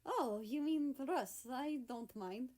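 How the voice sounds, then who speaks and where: A woman's voice: sits high, slow, a little mellow. A woman voicing a shy, easily flustered young man who stammers and hesitates.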